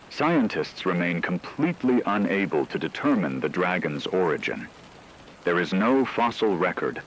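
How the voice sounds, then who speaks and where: A man playing a grave radio news anchor, reading scripted breaking news in a measured, formal manner. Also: low-pitched, a bit bright, a little hiss or hum, exaggerated voice, rough recording.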